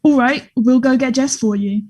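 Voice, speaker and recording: High-voiced brash young bully read by a woman. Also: moderate pace, a little mellow. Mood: scornful. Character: brash, mocking young male homophobic bully. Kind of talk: play table read.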